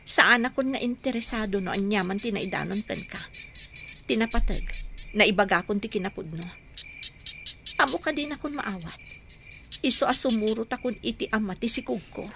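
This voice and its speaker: A woman's voice: on the high side, normal speed, vocal fry, long pauses, fairly bright tone, a little hiss or hum. An actress in a radio drama playing a guilt-torn mother, pleading in tearful melodrama. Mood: resolute, sorrowful. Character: guilt-torn mother Melody leaving her husband and children.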